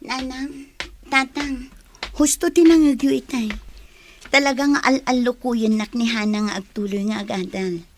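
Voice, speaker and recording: A modest young college student played by a woman in a radio drama. Her voice is on the high side, and she speaks as a grateful, respectful daughter. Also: fairly even intonation, unhurried, slight background noise, lightly acted. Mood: earnest, modest. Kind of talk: Ilocano radio drama acting.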